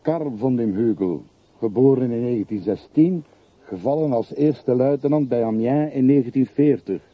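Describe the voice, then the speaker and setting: A man's voice: mid-range voice, moderate pace, dry recording, lightly acted. An actor playing a plain-spoken, deferential working man in a radio play, politely and earnestly insistent, on an old recording. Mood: solemn. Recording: noisy, muffled, phone-like old radio recording.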